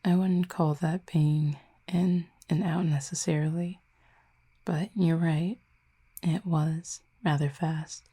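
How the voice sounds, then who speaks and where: A woman voicing a calm, curious cupid in an intimate audio roleplay, speaking softly and half-whispered with formal, measured, gently dry phrasing. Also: low-pitched, exaggerated voice. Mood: calm, gently teasing. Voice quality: breathy, soft, half-whispered. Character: calm, curious otherworldly cupid who feeds on feelings.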